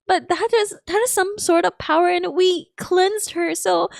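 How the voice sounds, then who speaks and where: A woman live-streaming her excitable, emotional reaction to a game, exclaiming and casually swearing. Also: very high voice, a little slow, vocal fry, no room sound, studio quality. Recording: game music behind. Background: game music.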